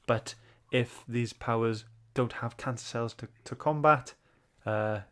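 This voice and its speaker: A man's voice: medium pitch, a little slow, a bit bright. A man hosting a book club livestream, chatting informally and greeting viewers. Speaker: host.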